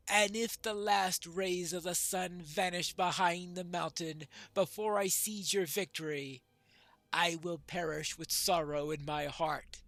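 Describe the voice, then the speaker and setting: A man's voice: a little high, creaky, sharp tone, no echo, exaggerated voice. A man voicing a remorseful old dwarf traitor in a fantasy game, speaking formally and solemnly as if under oath. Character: remorseful old dwarf traitor swearing loyalty to his king.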